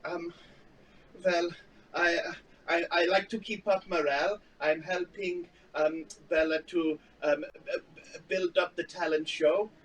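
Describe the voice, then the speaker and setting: High voice, slow, man acting as nervous fumbling camp helper, far from the mic, faint hiss. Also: expressive. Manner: hesitant, fumbling, full of ums. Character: timid, eager male camp helper. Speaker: actor in a telepresence improv rehearsal.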